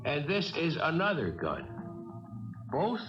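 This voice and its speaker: An actor playing a cool young armed killer in a radio drama, talking with mock politeness and quiet menace. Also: male voice, fairly high pitch, wildly swooping pitch, slow pace, full character voice. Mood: calm menace. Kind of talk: radio mystery drama acting. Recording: dull old radio recording, faint music.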